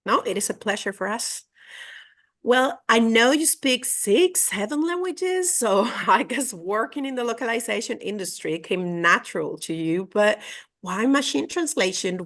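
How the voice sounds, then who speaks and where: A woman's voice: mid-range voice, animated, measured pace, fairly bright tone. A woman hosting a podcast, welcoming the guest and asking friendly questions. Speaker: podcast host. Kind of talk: interview questions.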